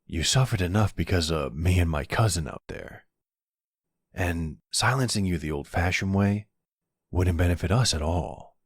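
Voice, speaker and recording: A man playing a charming, smooth-talking mafia boss in an ASMR roleplay, wry and flirtatious. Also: sits low, animated, moderate pace, no echo, clean studio sound, exaggerated voice. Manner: flirtatious, wry, exasperated with his cousin. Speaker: voice actor.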